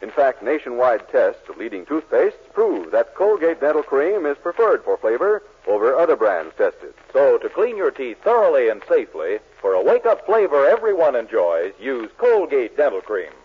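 A man's voice: mid-range voice, very animated, normal speed, husky. A man working as a radio announcer, reading scripted commercials in a 1940s style. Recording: muffled 1940s radio recording.

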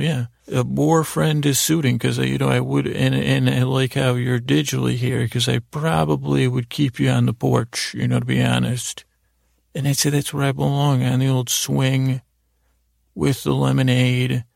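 A man with a gravelly, creaky voice hosting a podcast in a rambling, improvised bedtime monologue, halting, repeating himself and trailing off. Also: medium pitch, little pitch movement, a little mellow, dry recording, studio quality. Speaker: podcast host.

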